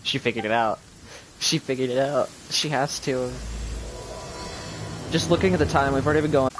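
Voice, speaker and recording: A man's voice: mid-range voice, moderate pace, over music. A man giving casual live gameplay commentary as a streamer, in short offhand remarks. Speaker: game streamer. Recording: noisy live stream mic with clicks.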